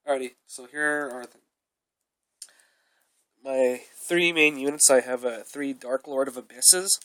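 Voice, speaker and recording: A young man talks through his card deck in a loose, rambling way, tacking "though" and "uh" onto most sentences. He has a cold, which makes his voice sound a bit off. His pitch sits in the middle for a man. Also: unhurried, fairly bright tone. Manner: rambling, repeated fillers like "though" and "uh". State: sick with a cold, coughing. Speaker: card game reviewer. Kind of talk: casual deck review.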